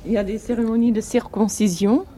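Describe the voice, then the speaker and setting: A woman's voice: fairly high pitch, steady tone, a little fast, dark tone, a little hiss or hum. A woman interpreting an interviewee's answers into French, plainly and in the first person.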